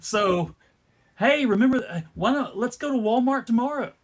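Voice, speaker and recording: Man, convention panelist. Casual commentary, wry and halting, given to comic asides. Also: extremely high pitch, animated. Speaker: panelist at a fan convention discussion.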